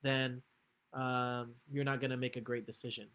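A man's voice: mid-range voice, level tone, moderate pace, a bit bright, smooth. A young man, a guest on a video call from home, speaking casually with filler words. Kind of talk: casual chat. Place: home room.

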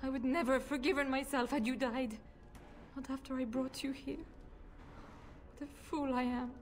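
A woman's voice: sits high, steady tone, normal speed, natural voice. A woman playing an anguished, defensive noble mother with a pleading, dramatic manner in a fantasy game. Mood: distraught, pleading.

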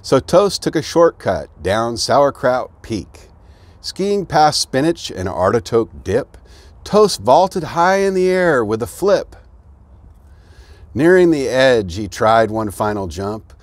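A middle-aged American man reading a children's rhyming storybook aloud in an expressive storytelling manner. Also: mid-range voice, slow, dry recording. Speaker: fire chief, guest reader. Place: outdoors beside an open fire truck.

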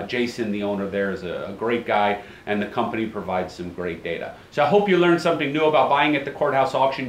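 A man in his forties, seated at his office desk, explaining real estate title searches to camera in a brisk, plain-spoken way and repeating key phrases. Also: medium pitch, roomy sound, slight background noise. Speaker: title company owner, show host.

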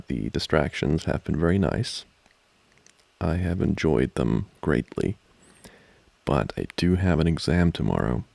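A man playing an amorous, giggly student boyfriend in an intimate ASMR roleplay, talking haltingly and teasingly close to the mic. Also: a bass voice, vocal fry, dry recording, heavily acted. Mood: playful, mildly protesting. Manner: halting, soft-spoken, chuckling, teasing. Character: amorous, giggly young male student boyfriend trying to study. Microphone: close microphone, dry.

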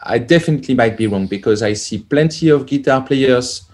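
A man, a plug-in developer guest, answering casually with many pauses as he searches for words over a video call. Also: mid-range voice, a little mellow. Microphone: headset on video call.

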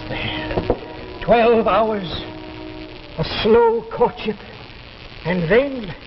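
An actor in his sixties playing a frail, obsessive old inventor father in 1950s television drama, with a halting, theatrical delivery. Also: high voice. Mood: hopeful. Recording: muffled old TV soundtrack with music.

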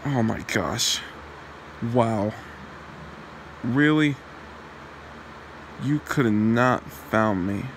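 A man voicing a possessive, taunting demon husband in an ASMR roleplay, with a mocking manner and a gravelly voice. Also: medium pitch, very slow, long pauses, slight background noise.

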